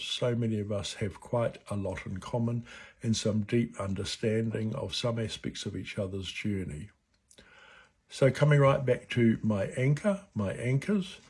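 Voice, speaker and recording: A man in his seventies from New Zealand with a New Zealand accent who stutters at times, gently reading a personal reflection. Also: on the low side, gravelly, breathy edge. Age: 70s. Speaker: board chair of a stuttering charity. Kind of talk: personal reflection read aloud.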